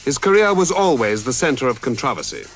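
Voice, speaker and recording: A man giving measured, dramatic scripted documentary narration. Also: medium pitch, sing-song, soft background music. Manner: measured, dramatic storytelling delivery.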